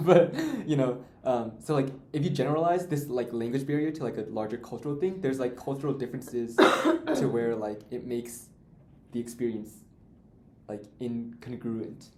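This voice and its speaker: A young man in a student discussion, halting and full of fillers as he works through his thoughts. The room is echoey, with a faint hiss under the voice. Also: medium pitch, a little mellow. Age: young adult. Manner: halting, many fillers and restarts. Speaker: student podcast co-host. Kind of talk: casual discussion.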